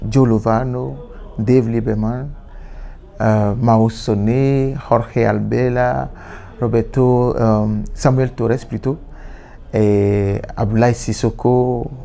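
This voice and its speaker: A man's voice: lowish voice, gravelly, warm tone. A man in his thirties whose first language is Yoruba, giving reflective interview answers indoors, often restarting and repeating words. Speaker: musician. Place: indoor room, seated on a sofa.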